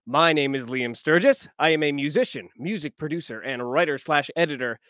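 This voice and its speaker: A man's voice: a little high, expressive, fairly quick, a bit bright, no room sound, studio quality. A man hosting a podcast, reading upbeat scripted introductions and making playful jokes with his guests. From Canada. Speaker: podcast host. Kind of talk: podcast conversation.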